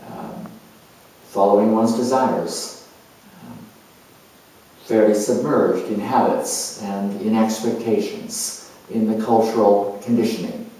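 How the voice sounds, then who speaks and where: Slow, deliberate man in a very echoey room. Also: lowish voice, steady tone, dark tone, slight background noise. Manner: deliberate, halting, with long pauses. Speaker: astrologer, presenter. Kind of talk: measured explanatory lecture introducing a performance. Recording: very echoey room, distant mic.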